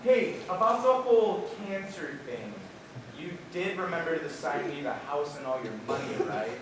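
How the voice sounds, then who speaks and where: A teenage boy acting a melodramatic young husband obsessed with a ball game in a high school stage comedy. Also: expressive, normal speed, lightly acted. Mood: grave, earnest. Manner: overwrought, theatrical. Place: large theater stage, indoors. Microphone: far camera. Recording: roomy distant stage recording, noisy auditorium.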